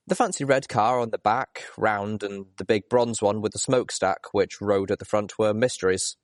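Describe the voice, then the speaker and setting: A man's voice: fairly low pitch, no echo, studio quality. A man reading first-person story narration as an audiobook narrator. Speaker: audiobook reader.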